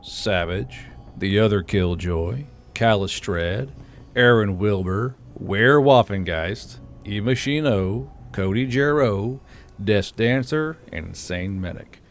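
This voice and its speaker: A man narrating an audiobook in a plain, unhurried way, then casually thanking channel supporters. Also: lowish voice, slight background noise. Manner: plain, unhurried storytelling; casual and blunt outro.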